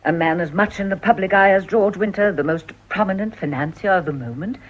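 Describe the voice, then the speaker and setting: An actress playing a shrewd, composed middle-aged mother in a period radio drama, with measured, probing upper-class English speech. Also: medium pitch, natural voice. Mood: soothing. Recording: dull, lo-fi radio recording.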